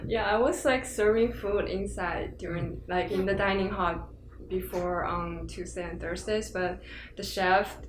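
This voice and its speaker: A young woman guest on a podcast, soft-spoken and gentle, speaking hesitantly in casual chat. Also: mid-range voice, measured pace, reverberant, noisy background. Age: young adult.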